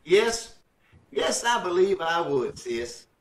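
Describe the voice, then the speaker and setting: A man's voice: high-pitched, sing-song, slow pace, full character voice. A man playing a folksy, good-natured, slightly hesitant fellow in an old-time radio comedy, recorded over a video call. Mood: kindly, pleased. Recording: distant, echoey video-call sound.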